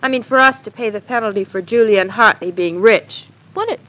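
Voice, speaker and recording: An actress playing a naive, earnest and affectionate young American wife in 1940s radio drama style. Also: female voice, a little high, brisk, a bit bright, natural voice. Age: young adult. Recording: dull, lo-fi vintage radio recording.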